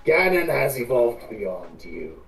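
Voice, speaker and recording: A woman voicing a murderous, scornful male fantasy villain in a slow, menacing, theatrical way for a fan-story reading. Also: extremely low pitch, very animated, gravelly, voice pushed down, heavily acted. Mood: menacing gloating. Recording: roomy echo, music behind.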